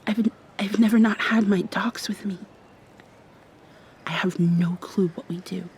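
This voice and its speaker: Soft, slow-talking shy vampire girl, played by a woman. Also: medium pitch, fairly even intonation, slight background noise, lightly acted. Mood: panicked, sheepish. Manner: soft, halting, breathy whispers and nervous laughs. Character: shy, flustered young vampire girl in love. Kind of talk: intimate ASMR roleplay.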